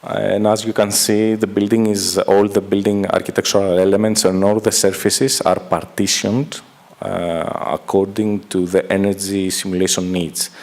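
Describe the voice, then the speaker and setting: Halting male presenter in a hall. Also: deep voice, little pitch movement, a little mellow. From Greece. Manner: halting, many filler "uh"s and restarts. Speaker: conference presenter. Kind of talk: technical conference presentation. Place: large dark lecture hall stage. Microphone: handheld microphone held at a distance.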